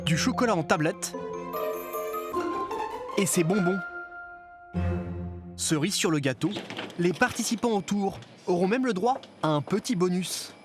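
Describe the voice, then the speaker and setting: High-pitched male documentary narrator over music. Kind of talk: scripted reportage narration.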